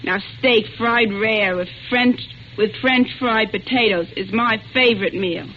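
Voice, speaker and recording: A woman's voice: fairly high pitch, unhurried, bright, forceful tone. A young woman giving an earnest, plain-spoken scripted testimonial in the style of 1940s radio. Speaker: speaker in a wartime radio food appeal. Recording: dull, noisy vintage radio recording.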